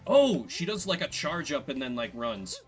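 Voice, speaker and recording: A man giving casual, excitable commentary while playing a video game. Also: on the high side, normal speed, faint music behind. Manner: animated, excitable.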